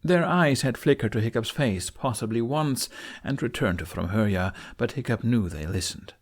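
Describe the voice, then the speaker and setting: A man's voice: mid-range voice, animated, moderate pace. A man reading scripted, dramatic fantasy narration as an audiobook narrator.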